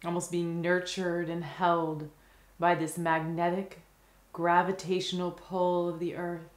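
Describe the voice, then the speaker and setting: A woman with a low-pitched voice leads a guided meditation. Her delivery is very even and gentle, with soothing phrases set apart by long pauses. The sound is roomy, with noticeable reverberation around the voice.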